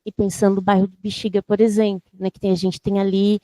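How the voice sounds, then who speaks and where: Flat-voiced woman lecturer musing aloud. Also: mid-range voice, quick pace, dry recording, rough recording. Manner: reflective, searching for words. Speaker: university lecturer. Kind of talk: academic panel commentary.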